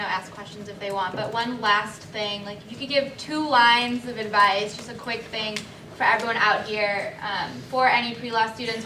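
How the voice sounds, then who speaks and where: A woman casually moderating a panel and putting questions to speakers. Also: a little high. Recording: echoey, distant, faint background noise.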